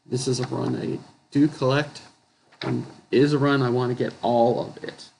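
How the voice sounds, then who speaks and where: A man chatting casually about his comic book haul, his voice going hoarse and rough, clearing his throat often and correcting himself as he rambles. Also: mid-range voice, steady tone, normal speed, darkish tone, a little hiss or hum, low-quality recording. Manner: rambling, self-correcting, chuckling. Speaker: comic book collector vlogger.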